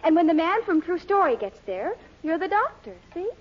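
Bossy young woman, swooping high. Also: big pitch swings. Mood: coaxing, confident. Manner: brisk, coaxing, commanding. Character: bossy, scheming, confident young woman, breezily persuasive. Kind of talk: 1940s radio sitcom acting. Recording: muffled old 1940s radio recording.

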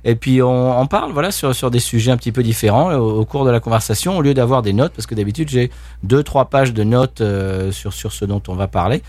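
A man's voice: mid-range voice, brisk, dry recording. Guy hosting a podcast, laid-back, lots of ums, dry close sound. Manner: relaxed, hesitant, laughs easily. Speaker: podcast host. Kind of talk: unscripted casual chat.

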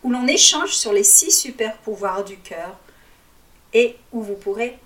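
A calm, measured woman coach whose first language is Arabic, with a fairly high voice. She guides listeners through a reflective exercise at an unhurried pace and keeps posing questions to them. The voice sounds a little distant from the microphone. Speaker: life coach.

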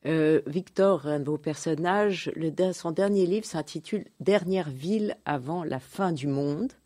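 A woman's voice: low voice, measured pace, dark tone. A woman hosting a literary radio interview, putting questions to her guest and presenting the programme.

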